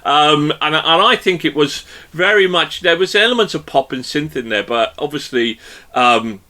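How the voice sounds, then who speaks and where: A bright, fairly high-pitched man hosting a podcast, his voice swooping up and down as he teases his guests. He rambles through fillers and restarts in relaxed, jokey banter. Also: moderate pace. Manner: playful and teasing, rambling with many fillers. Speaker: podcast host. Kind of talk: joking interview chat.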